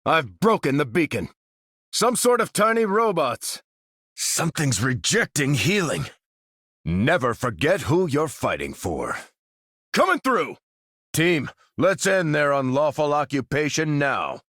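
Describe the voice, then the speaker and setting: Steadfast superhero soldier, widely varied pitch. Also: male voice, big pitch swings, a little slow, fairly bright tone, dry recording, studio quality, exaggerated voice. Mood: resolute, commanding. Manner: earnest, commanding. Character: steadfast, noble male soldier superhero leading his team. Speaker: video game hero. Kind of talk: video game voice lines.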